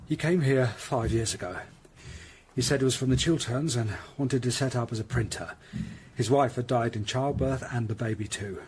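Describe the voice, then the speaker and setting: A man's voice: mid-range voice, moderate pace, a little mellow, slight background noise, natural voice. An actor playing an anxious, hesitant Tudor master tradesman giving reluctant, deferential witness in a period radio drama. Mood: guarded, uneasy. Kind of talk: period audio drama acting.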